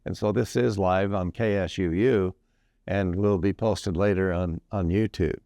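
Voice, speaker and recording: A man in his sixties hosting a casual morning radio interview, easygoing and quick to laugh. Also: deep voice, fairly even intonation, dark tone, no echo, clean studio sound. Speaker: radio host.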